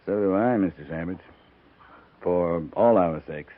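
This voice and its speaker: An American man playing a seasoned cavalry captain in a 1950s radio western, speaking in terse, measured orders. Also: low voice. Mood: quiet, weary. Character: seasoned, steady male cavalry captain on the frontier. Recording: tinny, noisy 1950s radio recording.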